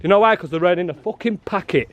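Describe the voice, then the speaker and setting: A man filming outside a prison, talking loudly and bluntly to the officers and to his viewers. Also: high voice, quick pace, no echo, highs cut off. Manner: loud, blunt and challenging, calls people "love" and "mate". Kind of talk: confrontational street filming with commentary to viewers.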